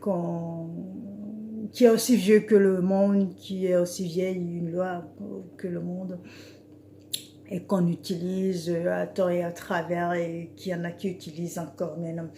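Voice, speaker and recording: A woman's voice: fairly low pitch, little pitch movement, mellow, slight background noise. A woman preacher giving an informal devotional talk on Bible verses, speaking hesitantly with many fillers. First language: an African language she names as her mother tongue. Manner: slow, hesitant speech with frequent "euh" fillers.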